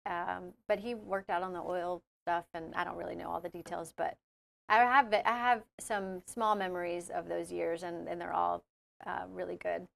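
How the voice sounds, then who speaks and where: A woman's voice: lowish voice, steady tone, normal speed, gravelly. A woman being interviewed on a podcast, talking casually and a little hesitantly. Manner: hesitant, lots of fillers and restarts, laughs easily. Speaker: guest, coffee shop owner.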